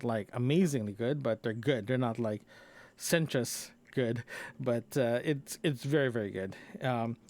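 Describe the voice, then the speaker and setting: A man recording a casual, unscripted solo audio log, talking in a rambling, halting way and often rephrasing himself. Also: mid-range voice, darkish tone, no echo. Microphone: close microphone into a recorder, compressed.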